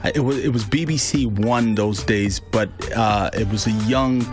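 A man from Zimbabwe, a guest on an interview, telling his story in a relaxed, rambling way with lots of "you know". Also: lowish voice, normal speed, music in the background. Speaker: interview guest, hip hop artist.

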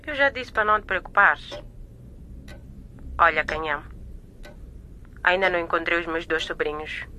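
Bright-voiced, clingy mistress. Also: female voice, fairly low pitch, expressive, normal speed, a little hiss or hum. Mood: coaxing. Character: clingy, coaxing secret mistress of a businessman. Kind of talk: radio soap opera acting.

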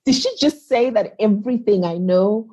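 A woman's voice: mid-range voice, normal speed, warm tone, breathy edge. A woman in her thirties from Zimbabwe, a guest speaking thoughtfully and earnestly in an interview. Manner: thoughtful, earnest, restarts phrases, voices her inner questions. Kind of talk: reflective interview talk.

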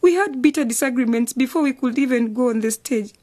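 A woman plays a passionate schoolgirl in a radio drama. Her voice is very high, emotional and plaintive, a daughter who feels everything keenly. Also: fast, mellow, close to an everyday voice. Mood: hurt. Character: passionate schoolgirl daughter, easily upset choir singer.

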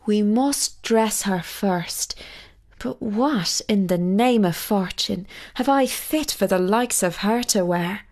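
An old peasant mother from a fairy tale, fretful and scolding, as a woman reader puts her on. She speaks slowly, at a middling pitch. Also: darkish tone. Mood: pitying.

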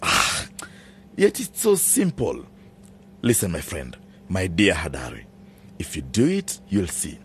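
An actor playing a menacing, scheming farm owner in a radio drama, domineering and theatrical, wheedling one moment and threatening the next. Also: male voice, low-pitched, wildly swooping pitch, unhurried, vocal fry, pauses often, slight background noise, exaggerated voice. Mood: conspiratorial, coaxing.

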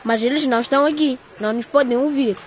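A woman plays a childlike little boy in a radio drama, wistful and playful. The voice is high, with clear noise in the background. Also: quick pace, natural voice. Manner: childlike, questioning.

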